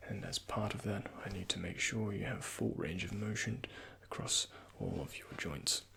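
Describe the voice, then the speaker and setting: A man speaks softly in a low, heavily creaky voice that often slips toward a whisper. The sound is crisp and bright. He plays a doctor in an ASMR roleplay, guiding the listener with gentle, reassuring instructions. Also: moderate pace, slight background noise. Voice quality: breathy, often half-whispered. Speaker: ASMR creator playing a doctor. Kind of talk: soft-spoken ASMR medical roleplay.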